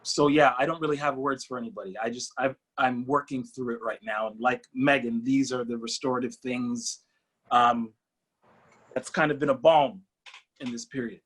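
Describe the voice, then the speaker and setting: A man on a panel speaking personally and reflectively, haltingly searching for words. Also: mid-range voice, steady tone, fairly bright tone, slightly rough, poor audio. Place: online video call.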